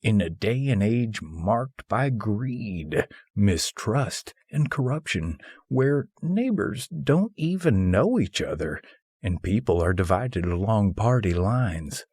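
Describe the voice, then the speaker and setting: Low, husky male audiobook narrator. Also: darkish tone, no echo. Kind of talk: scripted audiobook narration of a humorous philosophical essay.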